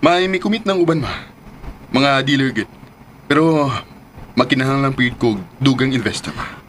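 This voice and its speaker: Radio drama male actor as ambitious, sincere young son, medium pitch, faint noise behind. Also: moderate pace, fairly bright tone, close to an everyday voice. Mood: eager, determined. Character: earnest, ambitious lovelorn young businessman, devoted son.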